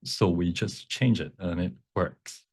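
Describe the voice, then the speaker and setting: Low-voiced man giving an informal workshop. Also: a little slow, a little mellow, slightly rough. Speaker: workshop presenter, font creator. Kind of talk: informal software demonstration, explaining.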